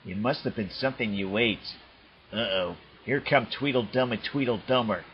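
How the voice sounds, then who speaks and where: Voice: medium pitch, moderate pace, muffled recording, natural voice. A performer voicing a cocky, wisecracking male blue hedgehog hero in a fan dub of a cartoon, with music behind.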